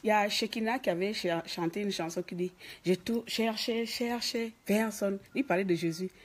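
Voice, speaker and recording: A woman's voice: medium pitch, normal speed. A woman from Gabon with a Gabonese French accent, scolding and mocking in an emphatic political rant. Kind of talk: ranting political commentary to camera. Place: office.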